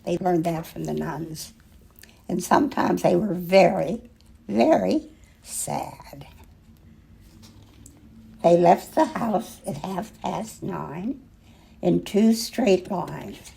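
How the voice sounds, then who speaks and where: Older female storyteller, very creaky, mellow tone, expressive children's read-aloud with dramatic pauses. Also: lowish voice. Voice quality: husky, gravelly. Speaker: storyteller reading a picture book aloud.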